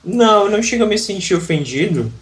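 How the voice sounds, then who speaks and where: A man's voice: sits high, big pitch swings, fast talker, poor audio. A man from southern Brazil, an English teacher, talking reflectively in a casual interview with frequent restarts.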